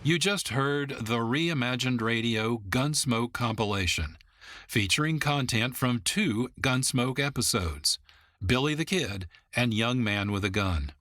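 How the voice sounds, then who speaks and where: A man giving measured, formal scripted narration as the announcer of a radio program. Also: medium pitch, expressive, no echo. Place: dry studio. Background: soft music.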